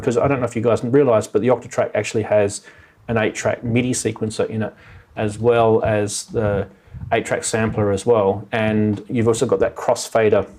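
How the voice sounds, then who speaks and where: A man's voice: on the low side, darkish tone. Chatty enthusiastic man hosting a show. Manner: rambling, enthusiastic, repeats words for emphasis. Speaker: podcast host. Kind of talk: casual live show chat.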